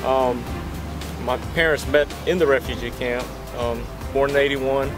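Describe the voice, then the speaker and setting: A man in his thirties, an engineer, telling his own story in a measured, matter-of-fact way. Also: mid-range voice, fairly even intonation. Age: 30s. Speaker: engineer interviewee. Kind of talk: personal story interview. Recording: loud music bed. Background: loud music.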